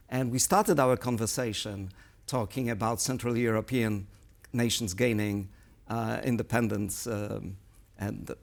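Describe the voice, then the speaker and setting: A man speaking as a guest analyst in a current affairs interview, explaining things in a measured, analytical way. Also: mid-range voice, vocal fry. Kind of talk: studio interview commentary.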